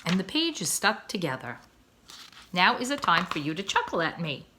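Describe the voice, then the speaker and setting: A woman reading a children's story aloud as narrator, in a dramatic, emphatic, expressive style. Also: lowish voice, moderate pace, background noise. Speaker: story reader.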